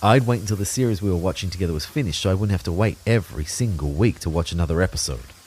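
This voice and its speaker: A man's voice: low-pitched, very fast, a little mellow, slight background noise, natural voice. A man playing an anxious, introspective man who narrates his own story in a reflective, wry way for an audio drama. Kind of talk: first-person scripted audio drama narration.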